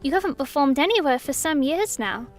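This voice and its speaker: Young girl princess, acted by a woman: high light pitch, candid and curious, fantasy audio drama. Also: lively intonation, fairly quick, faint music behind. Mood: curious, surprised.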